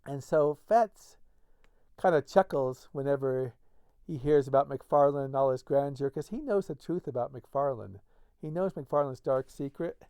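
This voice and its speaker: A man's voice: medium pitch, unhurried, warm tone, smooth, dry recording. A man hosting a book-reading series, casually introducing and narrating classic stories.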